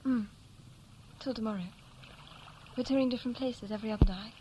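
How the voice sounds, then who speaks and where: An actress playing a curious, gently probing young woman from London in a 1960s radio play, talking in a natural conversational way. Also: a little high, steady tone, a little fast, muffled recording, lightly acted. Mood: quietly interested. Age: young adult. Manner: inquisitive, conversational. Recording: faintly noisy vintage 1960s radio recording.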